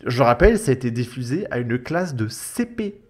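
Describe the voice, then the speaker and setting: A man's voice: medium pitch, big pitch swings, normal speed. Sarcastic, exasperated young Frenchman over music. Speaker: YouTube commentator. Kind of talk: casual mocking reaction commentary. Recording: music behind the voice. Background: background music.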